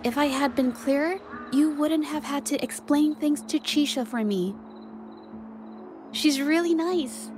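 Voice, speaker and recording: A woman's voice: high-pitched, unhurried, background noise. An actress voicing a shy, apologetic young woman painter in a video game, hesitant and polite.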